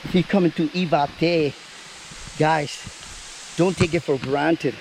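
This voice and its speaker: A high-voiced man with as his talks excitedly outdoors beside a waterfall, chatty as he flies a drone. Loud hiss and rushing water sit behind his voice. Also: poor audio. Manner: excited, chatty, mixes English, Portuguese and Spanish. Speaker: vlogger and drone pilot. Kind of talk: casual outdoor vlog talk.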